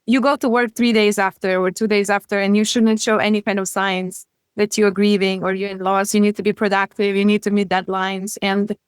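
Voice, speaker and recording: A woman's voice: mid-range voice, little pitch movement, fairly quick, clear, resonant tone, dry recording, clean studio sound. A woman in her thirties, from Ukraine and not an English speaker, speaking as a guest grief coach in an earnest, casual way, often dropping articles. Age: 30s. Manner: earnest, drops articles, frequent "you know" and "like". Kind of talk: casual interview chat.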